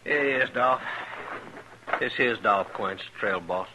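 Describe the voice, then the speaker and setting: A man's voice: lowish voice, slow. A man playing a plainspoken, laconic cowhand on a cattle drive in a 1950s American radio western, with folksy speech. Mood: matter-of-fact. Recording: faintly noisy old radio recording.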